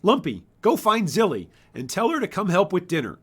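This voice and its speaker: Storybook farm mother, played by a man: commanding, brisk, raised pitch. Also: wildly swooping pitch, a bit bright, husky, exaggerated voice. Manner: commanding, directive. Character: bossy farm mother giving brisk household orders.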